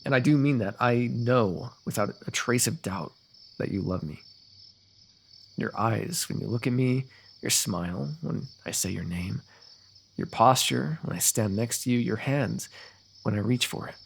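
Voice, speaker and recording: A man playing a lovestruck, eloquent fantasy royal in a romantic ASMR roleplay, talking intimately and poetically with gentle teasing. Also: mid-range voice, a little slow, vocal fry, slightly rough. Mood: tender, earnest. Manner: intimate, poetic, talkative, softly amused.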